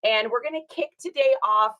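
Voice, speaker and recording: A woman with a slightly hoarse, rough voice, hosting a casual livestream and chatting upbeat with viewers, greeting them by name. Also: a little high, moderate pace, sharp tone, muffled recording. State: hoarse from singing. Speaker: reseller livestream host.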